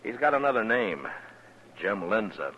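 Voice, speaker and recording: An actor playing a steady, blunt frontier marshal in a 1950s radio western. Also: male voice, on the low side, moderate pace, slightly rough. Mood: firm warning. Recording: dull vintage radio recording.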